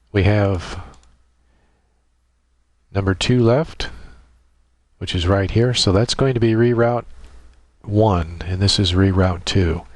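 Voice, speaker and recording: Low-voiced man giving a software tutorial. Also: no echo. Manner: methodical, explanatory, thinking aloud while demonstrating. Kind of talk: informal step-by-step screen tutorial narration.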